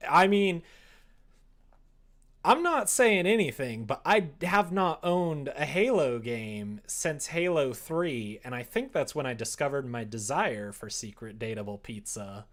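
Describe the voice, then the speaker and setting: A streamer chatting casually while working on a visual novel, drifting between conversation and quieter reading aloud. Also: mid-range voice, lively intonation, measured pace. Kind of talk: casual livestream chat while writing, murmuring draft lines.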